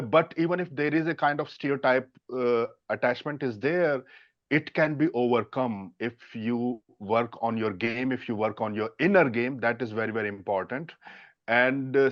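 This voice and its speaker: A man on a dating coach panel, speaking haltingly with many fillers and restarts. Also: medium pitch, no echo, highs cut off. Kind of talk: casual panel discussion.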